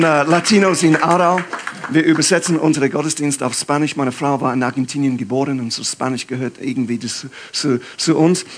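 English guy preaching in german, bit husky, slightly high voice, lots of ähs. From England. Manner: lively, halting German with fillers and laughs. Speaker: guest pastor. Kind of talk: sermon.

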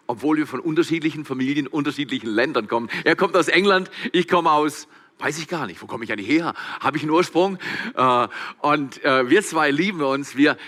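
A man's voice: a little high, fairly quick, fairly bright tone. A man, the church's pastor, welcoming the congregation and introducing the guest speaker with emphatic, rising enthusiasm. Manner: emphatic, rising to fervent calls. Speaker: pastor hosting the service.

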